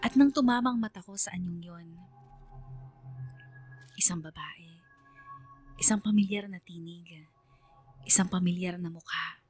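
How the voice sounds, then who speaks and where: A Filipino woman voicing a haunted letter sender, narrating a first-person radio horror story in a dramatic, brooding way with hushed drops. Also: on the low side, unhurried, long pauses, faint music behind, lightly acted. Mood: ominous.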